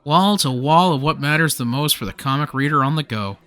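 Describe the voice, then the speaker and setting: An actor playing a young, theatrical, self-centred comic-book fan with a whiny, jokey way of talking in a comedy radio play. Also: male voice, on the high side, animated, fairly quick, bright, forceful tone, dry recording. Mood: grand, eager.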